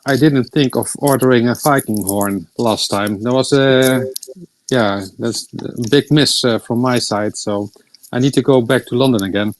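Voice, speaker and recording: A man from the Netherlands chatting on a live stream, halting with many fillers. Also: mid-range voice, poor audio. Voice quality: hesitant, lots of uhs. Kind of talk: casual live-stream chat.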